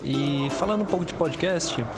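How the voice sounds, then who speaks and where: A Brazilian man giving a casual, spontaneous monologue, halting and correcting himself as he goes. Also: a little high. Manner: informal, halting, self-correcting. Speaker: podcaster. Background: prominent music.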